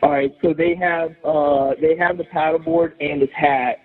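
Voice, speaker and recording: A man's voice: a little high, fairly even intonation, normal speed. A man calling an emergency dispatcher, giving a businesslike but hesitant report over a recorded telephone line. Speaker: caller reporting an emergency, security command post. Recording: noisy, narrow recorded emergency phone line.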